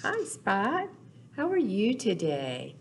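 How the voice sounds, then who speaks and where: Older woman, children's storytime presenter, very slow delivery, swooping intonation, simple phrases, playful sound words. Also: medium pitch, wildly swooping pitch, husky. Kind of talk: storytime for small children, talking, chanting and reading aloud. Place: small indoor room, seen seated before a wall.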